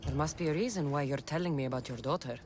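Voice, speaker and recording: A woman's voice: low-pitched, quick pace, over music, close to an everyday voice. An actress playing a confident, pragmatic young female mercenary in a video game, speaking directly and tersely. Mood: probing. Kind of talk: video game cutscene acting.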